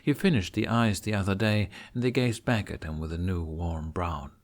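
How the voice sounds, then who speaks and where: A male narrator reads a story aloud. His voice sits low, and the delivery is scripted and expressive, in the manner of an audiobook. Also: normal speed. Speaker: podfic narrator.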